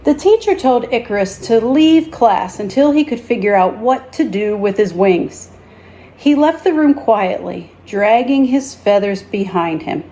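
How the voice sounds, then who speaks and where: A woman reading a children's story aloud as a teacher, in a deliberate, expressive storytelling manner. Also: mid-range voice.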